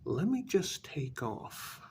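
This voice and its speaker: A man playing a jaded, irritable vampire in a soft-spoken ASMR role-play, with weary sarcasm and a gravelly, half-whispered voice. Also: fairly high pitch, very animated, background noise, voice pushed up, heavily acted. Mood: scornful, menacing. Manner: slow, deliberate, weary sarcasm. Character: jaded, irritable male vampire hiding a hideous face.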